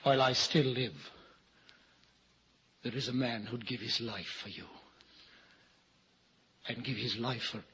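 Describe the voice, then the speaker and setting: An actor playing a morose, self-loathing English gentleman in an old-time radio drama, with a brooding, halting, theatrical delivery. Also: mid-range voice, close to an everyday voice. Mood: anguished devotion. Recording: dull, noisy vintage radio recording.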